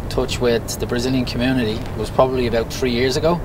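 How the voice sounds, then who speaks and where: A young Irish man with an Irish accent answering interview questions in a relaxed, self-deprecating way, with many "you know" fillers. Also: mid-range voice, quick pace, noisy background. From Ireland. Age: young adult. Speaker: interview guest.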